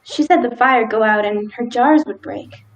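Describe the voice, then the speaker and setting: A woman's voice: a little high, moderate pace, muffled recording, lightly acted. Noisy recording, woman playing a timid sheriff's wife. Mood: concerned. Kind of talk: live radio play acting.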